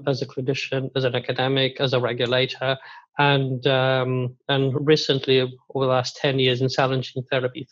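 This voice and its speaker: A man introducing himself and his company on a panel, with frequent fillers and repeated words. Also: mid-range voice. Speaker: biotech company CEO. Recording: dull lo-fi sound.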